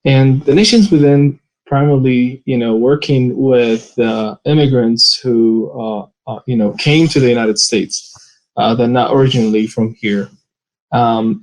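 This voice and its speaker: A man's voice: medium pitch, rough recording. A man, from Arabia, telling his story as an interview guest with many hesitant fillers. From Saudi Arabia. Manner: frequent hesitant fillers, soft laughs. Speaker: guest, immigrant ministry worker. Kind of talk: personal testimony in interview.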